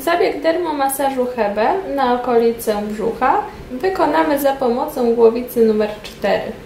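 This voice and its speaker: A woman's voice: sits high, normal speed, vocal fry. A woman instructor narrating a hands-on treatment demonstration step by step. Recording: distant, echoey room with loud steady noise.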